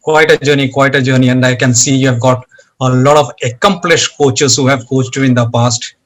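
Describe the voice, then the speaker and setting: A man's voice: mid-range voice, steady tone, normal speed. A man interviewing a guest, asking questions and paying compliments in a courteous, formal way.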